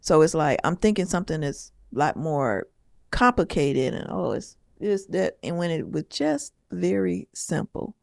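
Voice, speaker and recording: A woman co-hosting a casual podcast chat, reflective and quick to laugh, often correcting herself mid-sentence. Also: sits low, sing-song, gravelly, a little mellow, no echo, clean studio sound. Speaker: podcast co-host. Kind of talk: casual chat about marriage.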